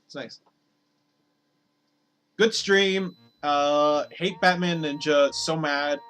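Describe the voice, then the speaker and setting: A man streaming games, talking casually and rambling with lots of fillers, his voice slightly hoarse. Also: a little high, very slow, a bit bright. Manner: rambling, filler-heavy, repeats words. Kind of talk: casual live-stream chat over gameplay.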